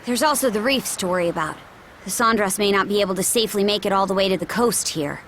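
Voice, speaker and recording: An actress playing a wary, tough young seafaring warrior in a video game, speaking in a terse, guarded way. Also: female voice, medium pitch, fast, fairly bright tone, a little hiss or hum, natural voice. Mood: focused. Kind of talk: English video game cutscene voice acting.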